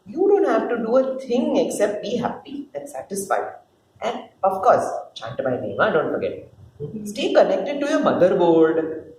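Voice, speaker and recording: A woman's voice: mid-range voice, huge pitch swings, moderate pace, a little mellow. Woman reading chatty motherly God, roomy recording. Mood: indulgent. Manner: casual, instructing, fussing with interjections. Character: God as a doting, chatty, motherly female creator. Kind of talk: dramatic play reading. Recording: roomy, distant mic, faint noise.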